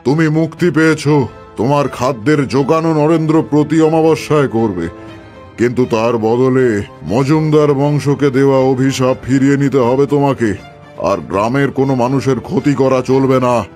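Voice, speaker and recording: A man's voice: mid-range voice, moderate pace, a little mellow, faint music behind, voice pushed up, close to an everyday voice. A man voicing a solemn, commanding village exorcist priest in a cartoon ghost story. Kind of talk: cartoon horror story voice acting.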